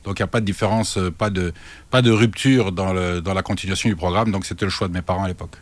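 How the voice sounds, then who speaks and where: An older man from Congo, a guest in a radio interview, speaking haltingly with many restarts. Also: sits low, fairly even intonation. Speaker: guest, banking consultant.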